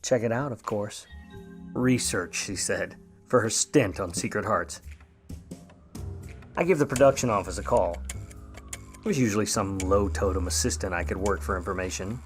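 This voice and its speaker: An actor playing a wry, grudging, hard-boiled Hollywood private eye of the 1950s in old radio noir style, full of slangy wisecracks. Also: male voice, fairly low pitch, normal speed, pauses often, faint music behind, lightly acted. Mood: world-weary narrating. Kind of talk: old-time radio noir acting with narration.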